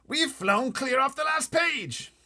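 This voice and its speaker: A man voicing a blustering, panicky older air force chief in a storybook readaloud, shouting in exasperation. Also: extremely high pitch, expressive, takes it slowly, pitched above the performer's own voice, exaggerated voice. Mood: outraged.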